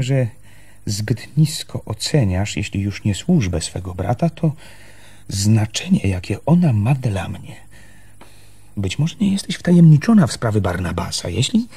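Hesitant, husky male outsider. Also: medium pitch, mellow. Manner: hesitant, stammering, breaking off and restarting sentences. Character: earnest, suspicious male newcomer to a village. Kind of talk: radio drama acting.

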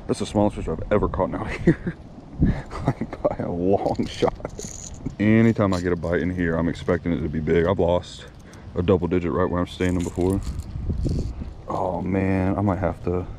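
A young man, talking casually to the camera as he fishes outdoors, rambling and excitable. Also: low voice, moderate pace. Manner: rambling, excitable, talks to himself during fights. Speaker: fishing vlogger. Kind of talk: casual talk to camera while fishing. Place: outdoors at ponds, windy. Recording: loud outdoor wind and water noise.

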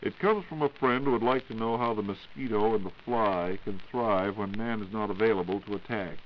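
Low-voiced American 1940s radio announcer, man. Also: brisk. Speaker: radio show host and announcer. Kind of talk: 1940s radio announcing, scripted question-and-answer. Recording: dull, noisy 1940s radio recording.

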